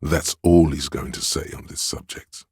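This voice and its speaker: An actor playing a stern, domineering inner voice of authority in a video game, with a pompous, imperious, measured delivery of dramatic narration. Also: male voice, very deep voice, fairly even intonation, a little mellow, breathy edge, dry recording, clean studio sound, heavily acted.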